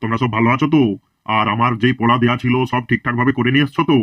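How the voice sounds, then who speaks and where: A man's voice: mid-range voice, steady tone, very fast, no room sound, dull audio, close to an everyday voice. A man voicing a sly cartoon schoolteacher who talks in a sweet, mock-kind way. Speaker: teacher. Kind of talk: cartoon voice acting.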